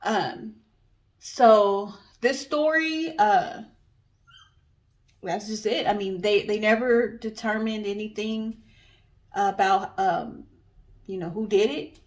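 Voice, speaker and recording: Woman cohost just casually telling stories, regular mid pitch, chatty conversational. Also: slow, pauses often. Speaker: co-host of a storytelling guessing show.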